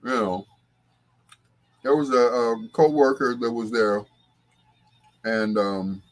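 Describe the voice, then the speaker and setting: A man's voice: mid-range voice, slow. A man hosting a casual livestream, talking to viewers in a rambling, conversational way. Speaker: livestream host.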